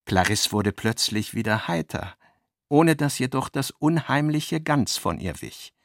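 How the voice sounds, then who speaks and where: A man with speech reads literary narration for a radio play in a measured, articulate manner. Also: medium pitch, animated, no echo, clean studio sound.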